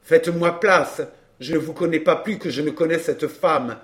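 A man's voice: a little high, moderate pace, a little mellow, close to an everyday voice. A man acting a suave, scheming suitor in a dramatised audiobook, with formal, theatrical and impassioned delivery. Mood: furious. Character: Georges, suave scheming suitor, pleading then violent.

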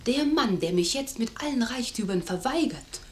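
An actress playing a poised, playfully scheming young noblewoman with refined, measured diction in 1950s radio drama style. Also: fairly high pitch, expressive, a little hiss or hum, natural voice. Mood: pensive, scheming.

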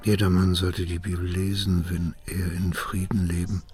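An actor playing a pious old man reciting scripture gravely in a measured radio play monologue. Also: deep voice, little pitch movement, darkish tone, faint music behind, close to an everyday voice. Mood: solemn.

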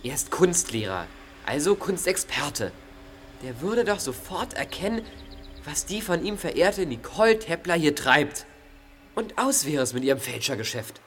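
A man's voice: fairly high pitch, big pitch swings, fairly bright tone. An impatient, clever teenage boy sleuth, noisy recording. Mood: confident, explaining. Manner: exasperated, explanatory, quick to scold. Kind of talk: German children's audio drama acting.

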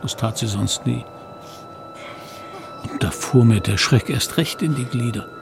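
An actor playing a grown man looking back on his boyhood, narrating a radio play in a reflective, measured way. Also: medium pitch, vocal fry, background noise.

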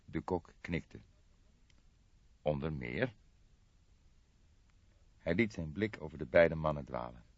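Low-pitched male audiobook narrator. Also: lively intonation, slow, pauses often, dry recording, lo-fi sound. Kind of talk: scripted crime story narration.

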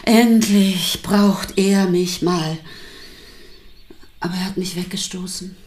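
An actress playing a defensive wife in a radio drama, with speech, articulate and given to dramatic pauses. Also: female voice, lowish voice, fairly even intonation, darkish tone, slight background noise. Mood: confessional, intense. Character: defensive wife recounting her husband's violent confession.

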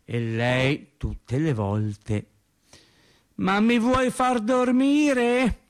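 An actor playing a wry, naive factory painter reminiscing in a radio theatre monologue, colloquial and rambling. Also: male voice, high-pitched, very slow, clear, resonant tone. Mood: tender, amused. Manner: colloquial, animated, rambling storyteller. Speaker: stage actor performing a monologue.

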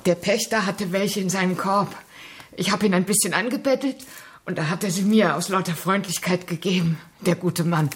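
An actress playing an outspoken, good-hearted maidservant in a German radio drama, plain-spoken and full of exclamation. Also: female voice, fairly low pitch, moderate pace, breathy edge. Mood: pleased.

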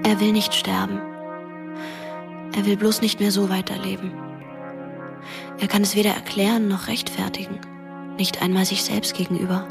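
A woman playing the watchful, tender ghost of a dead teenage girl, narrating a radio play quietly and in measured phrases. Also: medium pitch, level tone, creaky, lightly acted. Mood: tender, grave. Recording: music behind the voice.

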